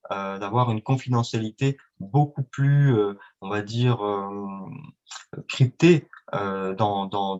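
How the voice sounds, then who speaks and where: A man answering interview questions as a guest, speaking with many fillers and restarts. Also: mid-range voice. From France and Italy. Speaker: guest, independent trader. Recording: dull lo-fi sound.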